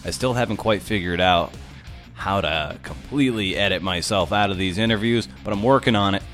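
Low-voiced man hosting a podcast. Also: fairly quick, fairly bright tone, faint music behind. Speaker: podcast host and interviewer. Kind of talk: podcast intro and interview questions. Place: small untreated home office.

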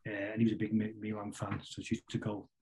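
A middle-aged man with a Manchester accent, chatting casually as an interview guest in a rambling, halting way full of tag questions. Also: on the low side, monotone, mellow. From Manchester, England. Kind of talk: casual conversational football chat.

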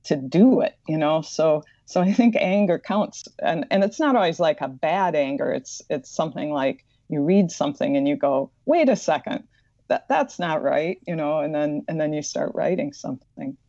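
A woman's voice: low-pitched, expressive, normal speed, dark tone, dry recording, lo-fi sound. A woman with a slight Midwestern accent, an author and librarian, talking earnestly as a podcast guest and often repeating words as she thinks. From Madison, Wisconsin.

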